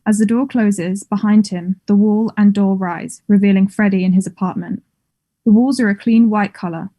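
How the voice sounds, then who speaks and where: A woman narrates a play script at a table read. Her voice is mellow and mid-pitched, setting out scene descriptions and stage directions as plain scripted narration. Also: fairly even intonation, normal speed.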